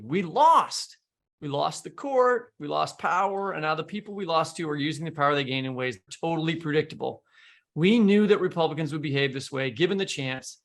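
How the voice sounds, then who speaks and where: An American man with a fairly high voice that often drops into heavy creak. He speaks as a podcast host, in casual political conversation. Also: fairly bright tone.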